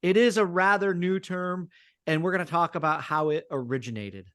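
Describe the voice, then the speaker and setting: Casting: man, self-deprecating podcast co-host, slightly raised pitch, dry studio sound. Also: moderate pace, fairly bright tone, clear, resonant tone, no echo. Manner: self-deprecating, laughs at his own jokes. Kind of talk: casual podcast chat.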